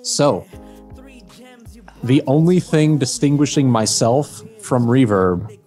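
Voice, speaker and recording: A man's voice: medium pitch, lively intonation, takes it slowly, dark tone, faint music behind. Rambling American man on a call. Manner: rambling, with many fillers and restarts. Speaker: podcast guest, voice actor and fanfiction narrator. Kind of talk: casual group chat over a video call. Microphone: video call.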